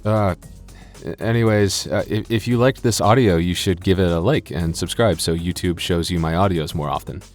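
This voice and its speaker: Casting: male audio creator, low-pitched, casual and joking outro delivery, hesitant, with music underneath. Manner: halting, self-deprecating, joking. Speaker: audio roleplay creator and voice actor. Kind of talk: casual comedic outro to listeners.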